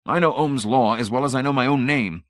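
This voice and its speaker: A man's voice: medium pitch, fairly quick, no room sound, studio quality, voice pushed up, close to an everyday voice. A man voicing a blunt, skeptical male electronics expert who grows exasperated. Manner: blunt, irritated and challenging. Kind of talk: audiobook character voice.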